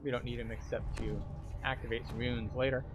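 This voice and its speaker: A man's voice: mid-range voice, flat delivery, fairly quick, highs cut off, music in the background. A man narrating a video game walkthrough as a tour guide, explaining each step. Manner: explanatory, guiding.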